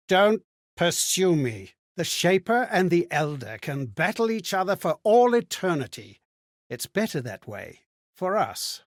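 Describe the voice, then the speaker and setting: An actor playing a gentle, sorrowful male spirit of borrowed memories in a video game, husky and breathy, with theatrical, musing delivery. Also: a little high, dry recording, studio quality. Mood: wistful, searching. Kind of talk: scripted video game character monologue.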